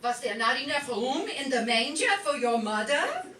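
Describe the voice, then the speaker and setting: Very high-voiced, kvetching mother. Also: very animated, moderate pace, a bit bright. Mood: wounded, sarcastic. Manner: exclamatory, guilt-tripping, kvetching. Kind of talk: broad comic radio play acting. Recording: roomy, distant live stage recording, noisy.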